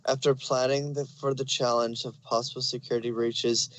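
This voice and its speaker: A man's voice: medium pitch, little pitch movement, normal speed, slight background noise, rough recording. A man narrating fan fiction in an amateur dramatic reading style.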